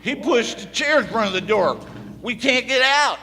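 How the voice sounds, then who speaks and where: A man's voice: very high voice, expressive, moderate pace, sharp tone, soft background music, full character voice. A man playing a plain-spoken, wary cowboy in a live Western radio play, with folksy talk in an echoey hall. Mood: anxious. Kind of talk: live Old West radio play acting.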